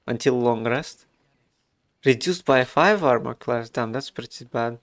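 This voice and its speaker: A man's voice: medium pitch, a little slow, fairly bright tone, dry recording. A man from Russia with a Russian accent, chatting casually while streaming a game, thinking aloud with many fillers. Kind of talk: casual gameplay commentary.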